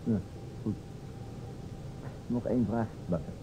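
Low-voiced radio-play policeman. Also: slow pace. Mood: dry, hesitant. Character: tall thin policeman, dry and sardonic. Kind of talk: old-time Dutch radio play acting. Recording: dull old radio recording, faint hiss.

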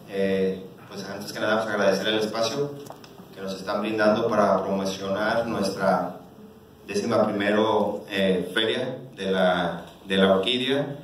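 Mexican man in a very echoey hall. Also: on the low side. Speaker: orchid association president. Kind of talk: informal press conference presentation. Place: auditorium stage, indoors. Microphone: handheld mic, recorded by distant camera. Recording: echoey hall, distant camera, background noise.

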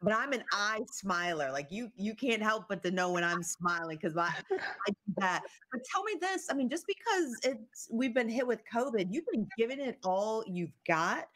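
A woman's voice: medium pitch, expressive, moderate pace, fairly bright tone. A woman hosting a talk show interview, chatty and stopping to restart her sentences.

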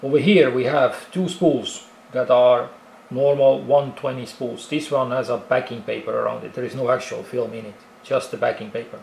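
A man's voice: mid-range voice, fairly even intonation, normal speed, vocal fry, darkish tone, reverberant, a little hiss or hum, low-quality recording. A man with an Estonian accent casually explaining film cameras in a tutorial, with frequent fillers and restarts. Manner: talks with many fillers and restarts, explaining step by step. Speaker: film photography hobbyist presenter.

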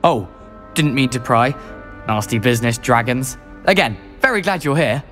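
A man's voice: mid-range voice, animated, moderate pace, fairly bright tone, faint music behind, lightly acted. A young man playing a friendly, chatty teenage wizard with a British accent, speaking in clipped phrases. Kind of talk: scripted game dialogue.

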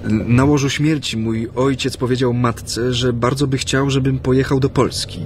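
An actor playing a reserved Japanese interpreter in exile in a naturalistic radio drama, speaking politely with hesitant pauses. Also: male voice, mid-range voice, fairly even intonation, a little mellow, slight background noise, natural voice. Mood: guarded, polite. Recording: studio radio drama with music.

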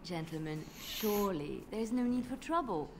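An actress playing a calm, devout young chantry sister in a fantasy video game, gentle and formal with quiet steel. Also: female voice, mid-range voice, moderate pace, natural voice. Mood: placating. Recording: game ambience and noise behind.

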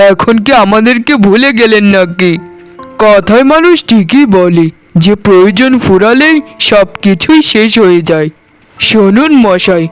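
A stern male moneylender from a cartoon, acted by a man, with a high, clear voice. He is sarcastic and menacing as he makes his demands, over faint music. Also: close to an everyday voice, rough recording. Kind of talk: cartoon dialogue acting.

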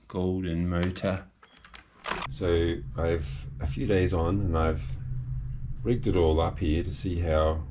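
Deep-voiced older man. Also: noisy background. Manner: unhurried, halting with restarts and fillers. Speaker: boat owner and video maker. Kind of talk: casual hands-on explainer. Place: cabin and engine room of a sailing catamaran.

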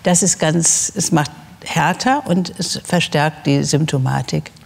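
An older woman explaining as an expert guest, measured and searching for words. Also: sits low, gravelly, husky, long reverb tail. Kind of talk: explanatory discussion. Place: large TV studio.

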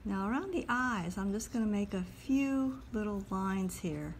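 A woman calmly talking through a step-by-step drawing lesson as she sketches at a table. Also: medium pitch, slight background noise. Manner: calm, unhurried, talks while drawing. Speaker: drawing instructor. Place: at a table indoors.

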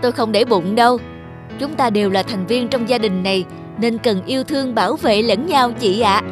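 Cartoon guard dog, played by a woman: friendly, polite, patient, high voice over music. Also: normal speed, close to an everyday voice. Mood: kind, forgiving. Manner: polite, gently reasoning. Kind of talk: dubbed cartoon fable acting.

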